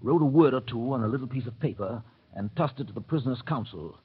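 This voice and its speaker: Husky, grave radio storyteller. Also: male voice, medium pitch, animated, fast talker. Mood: intrigued. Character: grave, measured male storyteller. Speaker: narrator. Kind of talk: old-time radio drama narration. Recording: tinny, narrow vintage radio recording.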